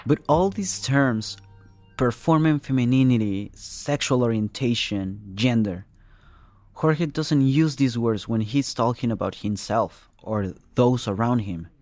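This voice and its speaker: A man's voice: medium pitch, a little slow, no echo. A young man tells a first-person story as scripted narration in a reflective, conversational way. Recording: faint music bed behind. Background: soft music.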